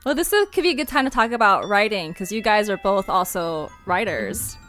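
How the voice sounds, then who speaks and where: A woman hosting a podcast in a relaxed, casual conversational style. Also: mid-range voice, a little fast, faint music behind. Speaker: podcast host and show writer. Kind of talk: casual chat. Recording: clear background noise.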